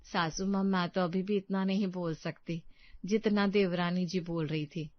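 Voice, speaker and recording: A woman voicing a shy, modest young wife in a cartoon story, speaking quietly. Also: fairly low pitch, fairly even intonation, moderate pace, no echo, voice pushed down, close to an everyday voice. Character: shy elder sister-in-law, young wife. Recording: dull dry recording.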